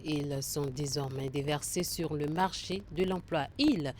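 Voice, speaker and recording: A woman's voice: low voice, darkish tone, slight background noise. A woman presenting scripted television news.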